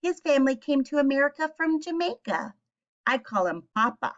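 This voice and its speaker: A woman teacher reads aloud to young children in a fairly high voice with very animated, swooping intonation. She explains things simply and puts questions to her listeners. The recording is a little dull and lo-fi. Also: normal speed. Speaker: teacher reading a picture book aloud.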